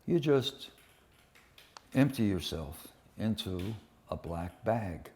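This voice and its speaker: A man presenting and reading poems at a live event, with deliberate, dramatic phrasing and pauses. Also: lowish voice, expressive, a little mellow. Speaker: poetry reader and presenter. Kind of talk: live poetry reading with informal introductions. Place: public poetry reading at a museum.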